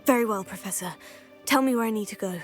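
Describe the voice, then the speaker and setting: A polite, eager young female student in a wizarding game voiced by an actress. Her mid-pitched, composed voice rings in a long reverb over faint music. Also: quick pace, close to an everyday voice. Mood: polite, ready. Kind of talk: video game cutscene acting.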